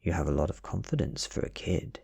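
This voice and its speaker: A man's voice: low-pitched, lively intonation, fairly quick, creaky, darkish tone, breathy edge, full character voice. A British man playing a tired, nervous private detective in a story reading, businesslike and questioning. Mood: defensive.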